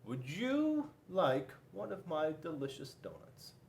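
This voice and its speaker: A man voicing a polite but fickle panda in a storybook, making slow, deliberate offers and refusals. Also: fairly high pitch, wildly swooping pitch, vocal fry, darkish tone, exaggerated voice. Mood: inviting, coaxing.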